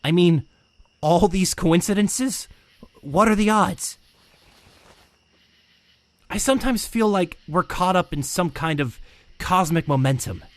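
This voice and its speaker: A man's voice: high voice, slow, pauses often. A man playing a brainy, anxious young mutant turtle in an audio comic drama, casual and thoughtful.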